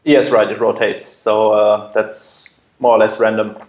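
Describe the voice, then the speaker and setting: A man's voice: on the low side, little pitch movement, measured pace, darkish tone, roomy sound, dull audio. A man answering interview questions and explaining his work in a conversational way. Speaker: air traffic controller, interview guest.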